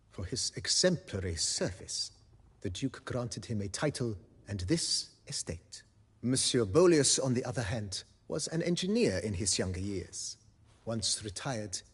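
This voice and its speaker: A refined estate majordomo for a fantasy game. His voice is very husky and breathy, swinging expressively in pitch as he speaks formally and deferentially, relishing each tale. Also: exaggerated voice. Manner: formal, deferential, storytelling with flourish. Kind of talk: video game character acting.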